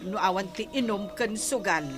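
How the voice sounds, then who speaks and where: A woman's voice: mid-range voice, moderate pace, natural voice. A woman playing a mildly disapproving villager gossiping in an audio drama. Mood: gossipy disapproval. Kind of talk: Ilocano radio-style audio drama acting. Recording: music bed behind the voice.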